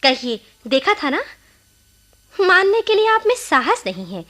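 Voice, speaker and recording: A woman's voice: very high voice, big pitch swings, a little slow, pushed and bright, heavily acted. A woman acting an anxious, defiant, wounded young village wife in a Hindi radio play, with dramatic, pointed delivery. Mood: bitter, defiant. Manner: dramatic, pointed, emotionally charged.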